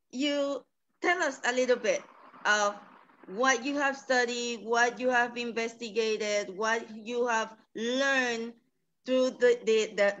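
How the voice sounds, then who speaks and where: A fairly high-voiced woman moderates a panel very slowly and repeats words as she goes. Her voice sounds dull and lo-fi over the call. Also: fairly bright tone. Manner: halting, repeats words as she searches for them.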